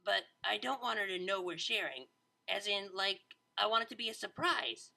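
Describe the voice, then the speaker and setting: A woman playing a laid-back young unicorn DJ in an audio story, talking in a casual, halting, awkward way. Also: mid-range voice, creaky, bright, forceful tone.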